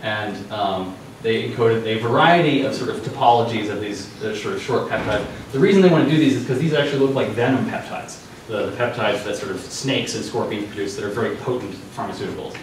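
A young man giving an informal science news talk in a dark lecture room, loose and conversational with many ums and restarts. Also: fairly low pitch, brisk, slight background noise. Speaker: presenter. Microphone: far room mic. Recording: echoey hall from far off.

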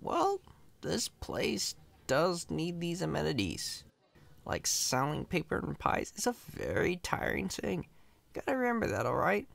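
A man's voice: fairly high pitch, huge pitch swings, a little slow, creaky, fairly bright tone, exaggerated voice. A man playing a chatty, cocky, friendly company employee in an ASMR roleplay, casual, reassuring and playfully boastful. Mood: easygoing, friendly.

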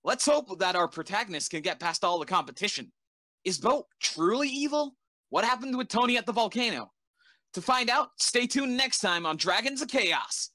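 A man narrates a dramatic episode preview in a high, bright voice. His pitch swings widely, and the sound is dry and close. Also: expressive, moderate pace, no echo.

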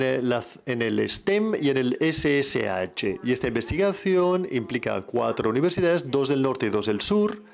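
A man giving a simultaneous interpretation of an academic conference lecture, measured and often correcting himself mid-phrase. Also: medium pitch. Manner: measured, with frequent self-corrections.

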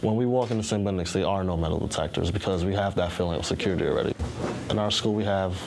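A young man from Guyana with a very low, deep voice narrates in documentary style. He explains calmly and steadily, and a clear layer of ambient noise sits behind him. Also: rapid-fire. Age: young adult. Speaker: student, senior group leader. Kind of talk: documentary voice-over.

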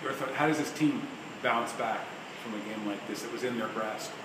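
A man's voice: mid-range voice, normal speed. A male sports reporter asking loosely phrased, rambling questions at a press conference. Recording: echoey room with air-conditioning hum.

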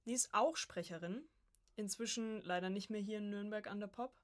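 A woman's voice: mid-range voice, normal speed. A woman in her early twenties chatting informally as a podcast guest, telling her story in a casual way. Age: early 20s. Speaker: podcast guest, voice acting student. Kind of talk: casual conversational interview.

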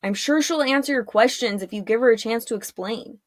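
A woman's voice: fairly high pitch, a little fast, dry recording, close to an everyday voice. A woman voicing a cheeky orphan boy in a storybook, earnest and defensive with a teasing bravado. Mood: questioning.

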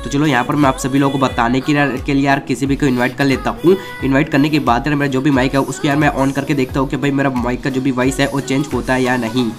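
A man's voice: mid-range voice, flat delivery, fast talker, few pauses. A man narrating a casual step-by-step gaming tutorial in a chatty way. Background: background music.

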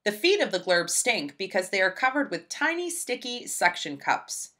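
A woman reading a children's picture book aloud in an expressive, emphatic storybook style. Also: mid-range voice, normal speed. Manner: animated, emphatic, storybook delivery.

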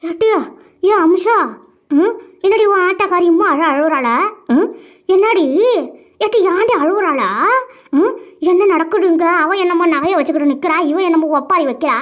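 A woman's voice: very high-pitched, animated, moderate pace. A woman playing a nosy, scolding older village aunt who questions insistently in a family drama. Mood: inquisitive. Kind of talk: melodramatic Tamil village family skit. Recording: dull, muffled lo-fi audio.

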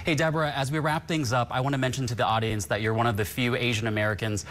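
A man's voice: mid-range voice, fairly even intonation, fast talker, a bit bright. A man whose first language is Vietnamese conducts a broadcast news interview in a polished, upbeat anchor manner.

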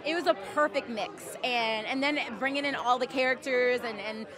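A woman's voice: sits high, normal speed. A woman in her thirties, an actress, gushing in an outdoor red carpet interview into a handheld mic. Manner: bubbly, gushing. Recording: crowd chatter behind.

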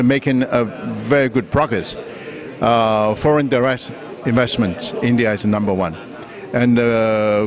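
Male, 60s, Taiwanese accent. Official giving press interview answers, halting and measured, handheld mic. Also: mid-range voice. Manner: halting, measured phrasing with pauses mid-sentence. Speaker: trade official being interviewed. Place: indoor press conference room. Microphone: handheld reporter microphone.

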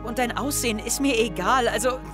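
A man's voice: very high-pitched, a little fast. A wounded, suspicious schoolboy in an audio drama. He is intense and anxious, with music running under his words. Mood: accusing, hurt. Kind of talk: German audio drama acting, confessional narration and dialogue.

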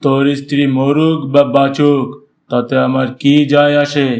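A man's voice: medium pitch, flat delivery, normal speed, a little mellow, close to an everyday voice. A man voicing a callous, blustering village landlord in a cartoon story. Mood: scornful, threatening. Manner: haughty, blustering. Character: callous, bullying village landlord, cowardly when scared.

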